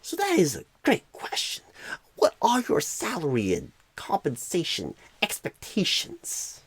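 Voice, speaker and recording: A man voicing a clueless, rambling, eccentric job recruiter in an ASMR roleplay, halting oddly mid-phrase in a breathy, husky voice that often drops to a whisper. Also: on the high side, huge pitch swings, dry recording, full character voice. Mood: earnest, halting.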